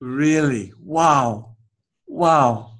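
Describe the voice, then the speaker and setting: A man's voice: mid-range voice, drawn-out words, a bit bright. A man with a New Zealand accent hosting an interview, enthusiastic, laughing easily and restarting his sentences. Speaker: interview host. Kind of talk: interview chat.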